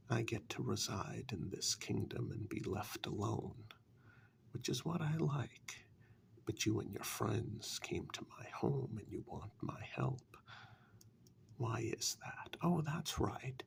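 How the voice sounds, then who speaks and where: Man voicing a slow, gravelly, whispery warlock. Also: lowish voice, heavily acted. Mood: dismissive, aloof. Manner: slow, deliberate, taunting with rhetorical questions. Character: condescending, reclusive male warlock in a dark fairytale. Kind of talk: soft-spoken ASMR role-play monologue.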